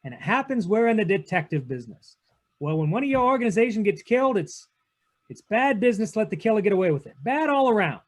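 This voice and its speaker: A man's voice: high-pitched, very animated, fast. A man playing a cynical, unyielding private detective in a 1940s-style radio drama, with a clipped, tough-talking delivery. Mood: stern. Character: hard-boiled male private detective, cynical, unyielding to his lover. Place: online video-call read-through.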